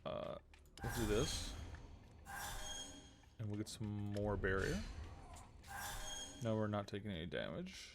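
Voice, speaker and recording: A man's voice: lowish voice, lively intonation, takes it slowly, pauses often, noisy background. A man giving casual live gameplay commentary as a streamer, thinking aloud and muttering to himself. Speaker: game streamer. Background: game music.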